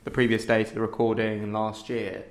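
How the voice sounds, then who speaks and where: A man's voice: fairly low pitch, monotone, brisk, vocal fry. A man talking informally as a director being interviewed, explaining his work in a conversational way. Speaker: theatre director, interview guest.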